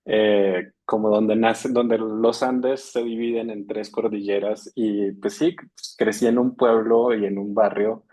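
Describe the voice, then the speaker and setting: A man's voice: fairly low pitch, little pitch movement, slow pace, hardly any pauses, warm tone. A man from Colombia introducing himself casually on a video call, chatty with frequent laughs and fillers. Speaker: workshop participant.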